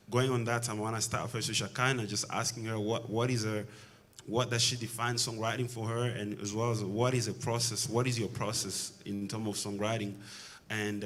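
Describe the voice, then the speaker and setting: A man moderating a masterclass panel, rephrasing his questions with hesitant pauses. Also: fairly low pitch, fairly bright tone, a little hiss or hum. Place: recording studio.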